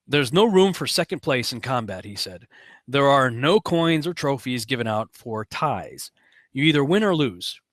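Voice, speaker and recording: An American man hosts with informal commentary and some reading aloud. His voice sits at a middle pitch. It is recorded dry and close. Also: sing-song, moderate pace, a bit bright, no room sound. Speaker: host and commentator.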